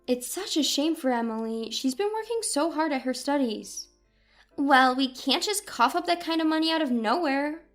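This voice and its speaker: A woman's voice: high voice, lively intonation, moderate pace, faint music behind. A woman playing an effusive, scheming middle-aged daughter-in-law in an audio story, polite and gushing. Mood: plaintive. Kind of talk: acted dialogue in an audio story.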